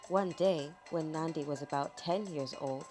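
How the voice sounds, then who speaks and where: A woman narrating a children's folk tale from a script in a dramatic, expressive storytelling manner. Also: low voice, normal speed, soft background music.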